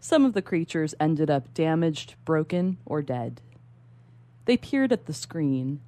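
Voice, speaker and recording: A woman reading a science fiction short story aloud, dramatic yet measured and precise. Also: deep voice, very animated, warm tone, no echo. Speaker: guest story reader.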